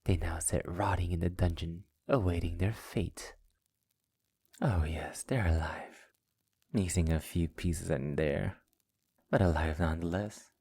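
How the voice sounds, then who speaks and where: Man playing a very low, gravelly vampire master. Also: a little mellow, heavily acted. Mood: cold, gloating. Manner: slow, teasing, often dropping into breathy whispers; silky, formal, condescending endearments. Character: sadistic possessive male vampire master, suave and menacing. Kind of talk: ASMR roleplay, intimate scripted monologue. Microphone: close mic in a dry, quiet space.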